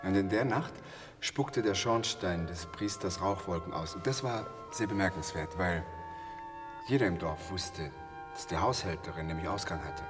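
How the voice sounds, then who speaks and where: Male actor as macabre, talkative traveller for radio play: low-voiced, halting, savouring delivery, echoey with music behind. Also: far from the mic, close to an everyday voice. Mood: grimly confiding. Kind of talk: German radio play acting.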